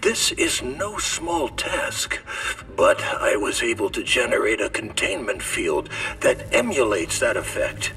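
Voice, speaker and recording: An actor voicing a calm male scientist who explains things in a measured, technical way, in a game cutscene. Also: mid-range voice, exaggerated voice. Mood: explaining. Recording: game cutscene, distant from the mic, music.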